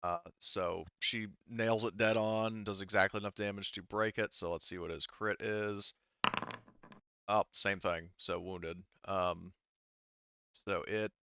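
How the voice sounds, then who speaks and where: A man running an online tabletop roleplaying game as game master, talking haltingly as he thinks aloud through the rules. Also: deep voice, vocal fry, a bit bright, clean tone, no room sound, lo-fi sound.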